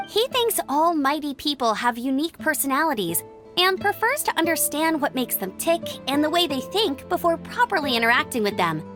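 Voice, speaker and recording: Game scout: bright-spirited young woman, chatty, high-pitched and animated, music behind. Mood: explaining. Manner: bubbly, informal, chatty. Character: upbeat, chatty, admiring young female scout. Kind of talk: video game voice acting. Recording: background music.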